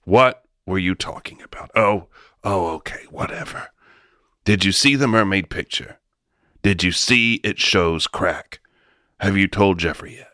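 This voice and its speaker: A man's voice: low-pitched, unhurried, pushed and bright, no room sound. A man acting as a scatterbrained, self-important male studio executive in a comic radio drama, blustering and dismissive. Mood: scatterbrained, insistent.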